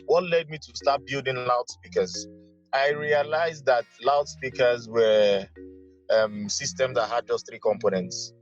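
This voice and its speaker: A man's voice: medium pitch, steady tone, unhurried. A man with a Nigerian accent telling his story in an interview in an emphatic way, repeating phrases for effect. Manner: emphatic, uses repetition for effect. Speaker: interview guest, loudspeaker engineer and entrepreneur. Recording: dull lo-fi audio with music behind. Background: background music.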